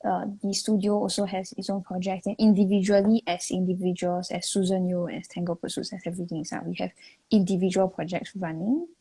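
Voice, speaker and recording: A woman's voice: fairly low pitch, flat delivery, brisk, dark tone, breathy edge, rough recording. A woman with a Singaporean English accent, co-hosting a casual chat and laughing often. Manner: chatty, laughs often, Singlish particles. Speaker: podcast co-host.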